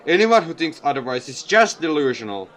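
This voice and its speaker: A man with a fairly high voice reads a visual novel aloud. He sounds like a gaming video creator, moving between scripted narration and his own remarks. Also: big pitch swings, a little fast, fairly bright tone.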